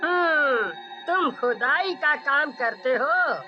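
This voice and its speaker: A woman voicing a wealthy male landowner in a cartoon story, casually commanding and drawn-out, in slightly dull sound under music. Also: high voice, animated, voice pushed up. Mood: unhurried, businesslike. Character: unhurried male wealthy landowner hiring a digger.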